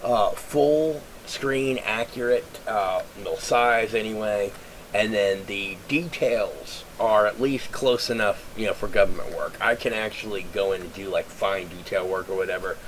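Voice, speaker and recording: A man talks into a webcam at home, his voice a little low and raspy, as if congested. He rambles casually, restarting sentences and filling gaps with "uh" while he thinks aloud. A faint hum of room ambience sits behind him. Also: a little slow, poor audio. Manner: rambling, lots of fillers and restarts. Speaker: vlogger.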